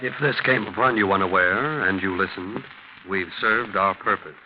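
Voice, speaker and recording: A man's voice: low voice, moderate pace. A man with an American accent giving a formal, scripted 1940s radio announcement. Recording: muffled 1940s radio recording. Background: loud music.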